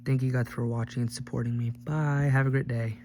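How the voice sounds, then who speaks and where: Male teardown host, mid-pitched and flat, casual rambling commentary with offhand jokes, clearly noisy humming recording. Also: brisk. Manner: rambling, jokey offhand remarks. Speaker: host of a gadget teardown video.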